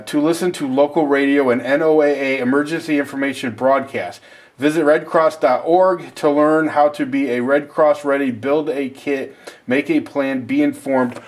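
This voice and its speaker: A man giving a casual, rambling, self-deprecating unboxing and review as the host of a video. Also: on the high side.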